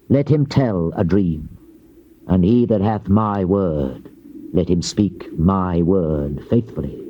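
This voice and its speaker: A man performs a grave British Old Testament prophet pronouncing judgment in archaic scripture. His voice is dark and middle-pitched, declaiming solemnly with stretched phrase endings. The dramatized Bible recording is dull, with light tape-era noise behind it. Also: close to an everyday voice. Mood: accusing, solemn. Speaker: scripture narrator voicing a prophet. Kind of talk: dramatized King James Bible recitation, theatrical. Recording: muffled, noisy old cassette tape.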